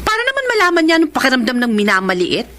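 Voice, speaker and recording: An actress playing a hardworking, sharp-tongued young businesswoman and bossy elder sister in a Filipino radio drama, with an expressive, preachy delivery. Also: high voice, rapid-fire, full character voice. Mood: smug.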